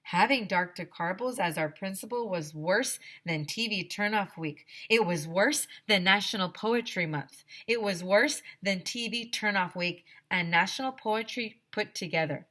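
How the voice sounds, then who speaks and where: A woman teacher reading a children's story aloud in an expressive, dramatic, emphatic storytelling manner. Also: medium pitch, a little slow, hardly any pauses.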